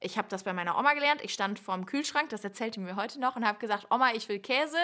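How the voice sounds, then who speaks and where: A woman's voice: a little high, fast, sharp tone. A woman hosting a casual interview, chatty and upbeat, with frequent fillers; half Dutch, raised bilingual. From Germany, partly Dutch family. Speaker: podcast host, interviewer.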